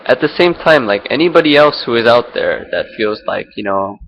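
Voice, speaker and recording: A man's voice: mid-range voice, lively intonation, moderate pace, fairly bright tone, rough recording. A young man from Singapore talking through his thoughts without a script, rambling and hesitant, with many fillers and restarts, recorded on a laptop mic. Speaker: vlogger. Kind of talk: unscripted personal reflection. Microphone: laptop built-in mic.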